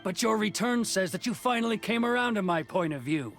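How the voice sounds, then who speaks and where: A man's voice: high voice, animated, brisk, over music. An actor playing a proud, cold male officer of a commission, curt and dismissive, in video game dialogue. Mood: stern.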